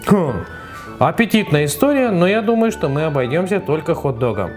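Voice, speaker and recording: A man voicing a forgetful, flustered father figure in a toy story, excitable and exclaiming. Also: on the high side, brisk, soft background music, pitched below the performer's own voice. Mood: polite.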